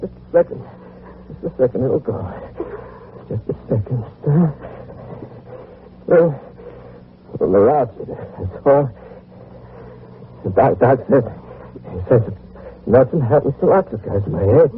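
Casting: male actor as faltering, confused gangland boss, slangy and proud, medium pitch, thin noisy vintage radio sound. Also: animated, a little slow, pauses often, close to an everyday voice. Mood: rattled, faltering. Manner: stammering, repeating himself, gangster slang. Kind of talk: old-time radio crime drama acting. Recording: noisy, tinny old radio recording.

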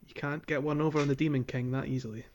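A man's voice: a little high, steady tone, fast, heavy background noise. A man co-hosting a podcast in informal, sweary, casual conversation. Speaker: podcast co-host. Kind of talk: casual chat.

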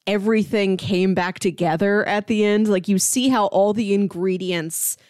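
A woman's voice: mid-range voice, moderate pace, darkish tone, no room sound, clean studio sound. A woman co-hosting a podcast, talking through films in a casual, conversational style. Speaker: podcast co-host. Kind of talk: casual film discussion podcast.

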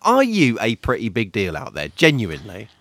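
A man's voice: on the low side, wildly swooping pitch, normal speed, fairly bright tone, no echo. A British man co-hosting a podcast, asking teasing questions in casual banter. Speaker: podcast co-host, interviewer.

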